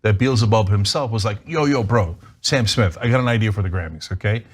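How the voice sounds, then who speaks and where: A man's voice: on the low side, fairly quick. A man hosting a news talk show, giving sarcastic commentary in a mocking storytelling way.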